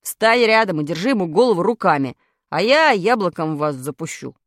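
A woman's voice: mid-range voice, big pitch swings, a little fast, no room sound, clean studio sound, voice pushed down, close to an everyday voice. A woman voicing a brash schoolboy schemer in a children's story, insistent and self-justifying. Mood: eager, commanding. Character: brash, clumsy, excuse-making schoolboy schemer.

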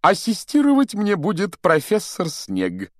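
A man's voice: high-pitched, wildly swooping pitch, measured pace, no room sound, clean studio sound, voice pushed up, full character voice. A man voicing a vain, showy, self-satisfied wizard professor in a theatrical, pompous, over-enunciated audiobook style. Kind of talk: audiobook character acting.

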